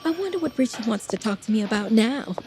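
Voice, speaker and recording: Lady acting a cocky sardonic tv reporter, high voice, really lively. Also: fast. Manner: brash, sardonic. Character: cocky, sardonic, ambitious news reporter woman. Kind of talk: audio drama acting.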